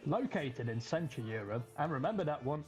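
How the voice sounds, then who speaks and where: A man's voice: a little high, big pitch swings, brisk, highs cut off. A man from Slovakia hosting an informal explainer in an emphatic, colloquial way, often asking rhetorical questions. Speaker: video host. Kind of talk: informal explainer narration to camera. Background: background music.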